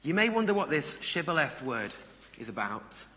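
Male preacher, very bright, crisp-edged tone, conversational sermon delivery, long hall reverb. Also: a little high, sing-song, normal speed. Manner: conversational, rhetorical questions to listeners. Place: large reverberant room before a congregation.

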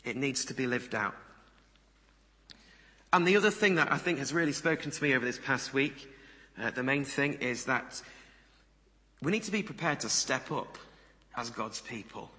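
Bright-voiced man preaching in a reverberant hall. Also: medium pitch, expressive, moderate pace, long pauses. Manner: conversational, rhetorical questions to listeners. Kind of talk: sermon. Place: large reverberant room before a congregation.

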